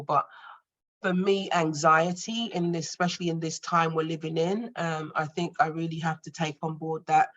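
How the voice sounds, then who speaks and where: Deep-voiced British woman, halting. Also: highs cut off. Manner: thoughtful, halting, many fillers. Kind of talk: online group discussion. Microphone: video call.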